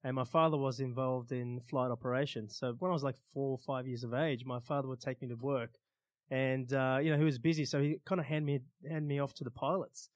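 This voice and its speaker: A man's voice: medium pitch, fairly even intonation, brisk, no room sound. Australian man telling his story, dry close sound. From Australia, born in Iran. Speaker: interview guest, stunt pilot and motivational speaker. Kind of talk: casual storytelling in an interview.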